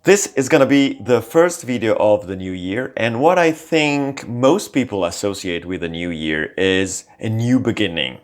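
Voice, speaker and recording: Lower-voiced man. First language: Italian. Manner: lively, shifting intonation with frequent small fillers. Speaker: language-learning channel host. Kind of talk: scripted talk to camera.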